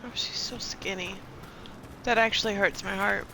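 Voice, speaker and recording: A woman's voice: mid-range voice, fairly even intonation, normal speed, vocal fry, background noise. A woman live-streaming a video game with casual, chatty commentary to herself.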